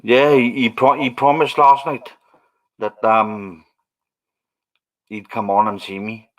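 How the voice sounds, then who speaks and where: A man's voice: mid-range voice, level tone, slow, rough recording. A Welsh man hosting a casual livestream, rambling and musing aloud to his viewers. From Wales. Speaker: livestream host.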